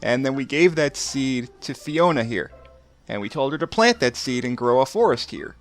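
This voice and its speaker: A man's voice: mid-range voice, expressive, moderate pace, faint music behind. A thirty-year-old man hosting a casual let's play, commenting on the game and often chuckling between remarks. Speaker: gameplay video host.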